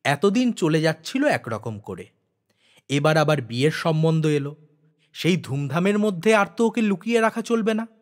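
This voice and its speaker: Guy reading an audiobook story, bit high voiced, expressive and dramatic, careful phrasing. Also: normal speed. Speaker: audiobook narrator. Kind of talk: expressive literary story narration.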